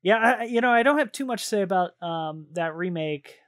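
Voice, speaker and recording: A man with a slightly higher-than-average voice hosts a casual gaming podcast. His delivery is conversational and loose, halting as he moves from point to point. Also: animated, fairly bright tone. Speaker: podcast host.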